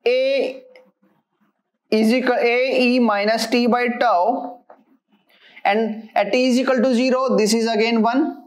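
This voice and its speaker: Slow, higher-voiced woman lecturing. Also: fairly even intonation. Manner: methodical, halting while working through equations. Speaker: engineering lecturer. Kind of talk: step-by-step technical lecture.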